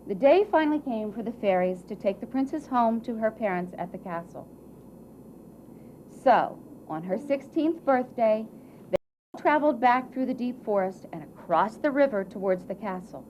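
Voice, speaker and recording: A woman narrating a scripted fairy tale for a puppet show in a theatrical way, with dramatic pauses and emphasis. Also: fairly high pitch, expressive, darkish tone. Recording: muffled, faint background noise.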